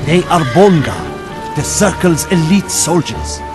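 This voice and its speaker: A man's voice: on the high side, sing-song, slow pace. A man playing a grave, defiant Ottoman warrior in a video game cutscene, intense and portentous in his warnings. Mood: urgent, grave. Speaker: voice actor. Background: background music.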